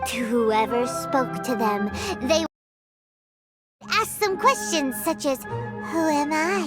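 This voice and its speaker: Rich: A tiny, childish floating companion girl in a video game. She speaks unhurriedly with a very high, lively voice. Game music plays clearly behind her. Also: slow pace. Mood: excited. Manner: bubbly, refers to herself by name.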